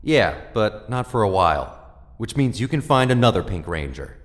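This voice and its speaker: A man's voice: fairly low pitch, sing-song, moderate pace. Man playing a stern father in a reverberant hall. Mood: angry, firm. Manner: blunt, commanding. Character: stern, protective father of a young heroine. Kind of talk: audio drama acting.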